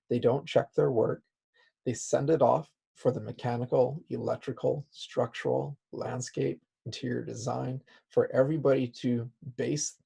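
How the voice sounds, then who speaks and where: A man with a raspy, hoarse voice, as he is losing it, teaching a software class with many "okay"s. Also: medium pitch. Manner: repeats "okay" as a filler. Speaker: instructor. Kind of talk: software tutorial lecture.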